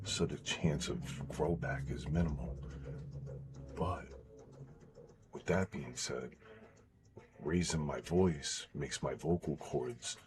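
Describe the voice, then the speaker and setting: Very deep-voiced man with a sore throat. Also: lively intonation, slow pace, pauses often. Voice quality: baritone. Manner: blunt and profane, drops to a whisper at times. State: sore throat after vocal cord surgery, voice strained. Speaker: streamer. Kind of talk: casual live-stream chat.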